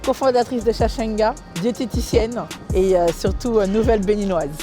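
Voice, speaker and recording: A woman's voice: mid-range voice, steady tone, moderate pace, music in the background. A woman who grew up in Guadeloupe giving a personal testimony in an interview as a food truck co-founder. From Guadeloupe, France.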